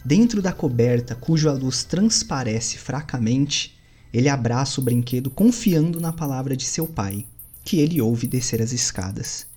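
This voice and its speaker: Male narrator, dramatic and deliberate, middle pitch, soft music underneath. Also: animated, slightly rough. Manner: dramatic, deliberate storytelling, slows for suspense. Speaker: narrator and game master. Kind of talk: scripted audio drama narration. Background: faint music.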